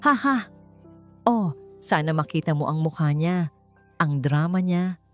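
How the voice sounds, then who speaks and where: A woman's voice: low voice, animated, a little slow, faint music behind. A woman voicing a smug, spoiled rich bride who talks in a haughty, sneering, boastful way in a narrated story. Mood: smug, gloating.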